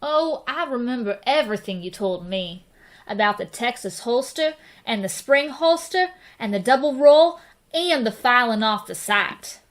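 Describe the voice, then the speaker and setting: Woman as young western lad: high-pitched, folksy, wide-eyed admirer, radio play. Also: expressive, unhurried. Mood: eager admiration. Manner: folksy, eager. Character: eager, hero-worshipping young frontier boy. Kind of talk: western radio drama acting.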